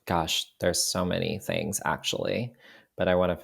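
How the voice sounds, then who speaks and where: A man with a very low, gravelly voice settles into a reflective podcast chat. His first language is Cantonese, and his thoughts come out with searching pauses and small restarts. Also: fairly even intonation, fairly bright tone. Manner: thoughtful, frequent restarts and "you know". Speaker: podcast guest, photographer. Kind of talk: reflective casual conversation.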